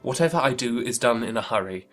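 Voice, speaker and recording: Audiobook role: amiable, earnest young gentleman, played by a woman, speaking low and courteously. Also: lively intonation, quick pace, faint music behind. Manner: polite, emphatic period speech. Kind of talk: dramatized audiobook character reading.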